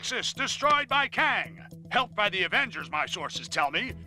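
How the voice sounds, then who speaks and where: An actor playing a cynical, wisecracking news boss, narrating a game cutscene in a brash, punchy, sarcastic way. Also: male voice, high-pitched, very animated, moderate pace, loud music behind the voice. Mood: sardonic, scolding.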